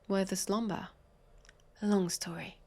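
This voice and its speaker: A woman with a French accent playing a sardonic, weary, centuries-old vampire police officer in an intimate audio roleplay, breathy and sighing. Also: medium pitch, steady tone, slow pace, dark tone. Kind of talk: intimate scripted audio roleplay addressed to listener.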